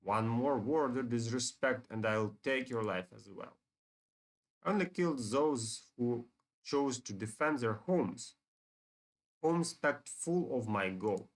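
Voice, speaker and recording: An ancient elder dragon from a fantasy game, read out by a man, mad with greed and full of pride. He speaks slowly and imperiously, threatening those beneath him. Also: medium pitch, lively intonation. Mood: threatening, boastful.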